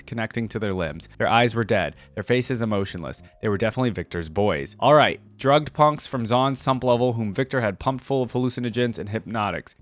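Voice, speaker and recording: A man's voice: medium pitch, no echo. A man hosting a reaction video, reading a story aloud expressively and adding casual, informal commentary.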